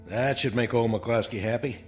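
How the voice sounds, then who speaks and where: A man's voice: mid-range voice, moderate pace, soft background music, close to an everyday voice. An actor playing a good-natured, teasing male coworker with an informal, ribbing manner in an audio drama.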